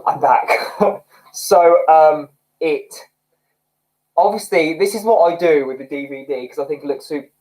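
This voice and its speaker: A man's voice: a little high, a little slow, a little mellow, low-quality recording. A man hosting a casual livestream from home, reading viewer comments, chatty and self-mocking and often laughing. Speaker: livestream host. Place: home, indoors.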